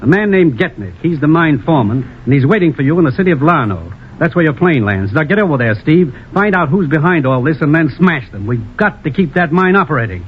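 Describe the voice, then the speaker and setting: An actor playing a brisk, authoritative commissioner in a 1950s radio drama. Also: male voice, fairly high pitch, sing-song. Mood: serious. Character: brisk, authoritative male commissioner briefing his agent. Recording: dull, faintly noisy radio recording.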